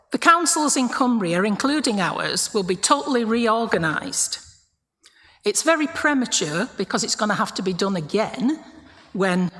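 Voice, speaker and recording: A woman's voice: medium pitch, fairly bright tone. Blunt woman councillor in an echoey hall. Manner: blunt, critical, pointed questions. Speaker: councillor questioning a report. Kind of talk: formal meeting debate. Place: council meeting chamber.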